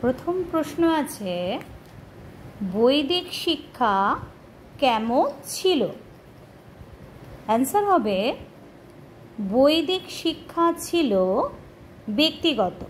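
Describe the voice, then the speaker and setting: A woman teaching a question-and-answer lesson, pausing deliberately between short phrases as if dictating to pupils. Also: high-pitched, a little hiss or hum, rough recording. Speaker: teacher presenting a history lesson.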